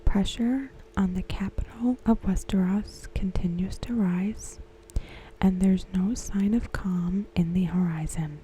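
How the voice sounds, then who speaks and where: A woman softly whispering a scripted recap in ASMR style, close to the microphone, with gentle, deliberate phrasing. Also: mid-range voice, steady tone, slight background noise, rough recording. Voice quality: soft, breathy, mostly whispered. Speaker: ASMR host. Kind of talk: ASMR, softly reading a scripted episode synopsis.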